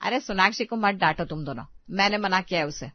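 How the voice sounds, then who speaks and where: A woman's voice: medium pitch, brisk, no room sound, natural voice. A bossy older mother from an audio story, played by a woman. She nags firmly and takes charge. The sound is dry and slightly muffled. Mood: stern, nagging. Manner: firm, nagging. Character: bossy older mother scolding her husband. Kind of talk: Hindi audio story dramatization.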